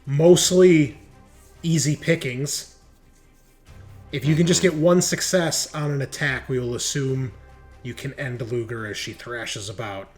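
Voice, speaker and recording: A man's voice: medium pitch, a little slow, a little mellow, soft background music. A man acting as game master, narrating a tabletop roleplaying game in a casual, descriptive way. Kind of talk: improvised game narration and rules calls.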